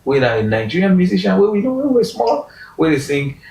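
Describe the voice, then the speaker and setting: A man talking as a guest in a casual interview, animated, pushing his voice up for emphasis and restarting sentences. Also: sits high, quick pace, creaky. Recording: roomy echo.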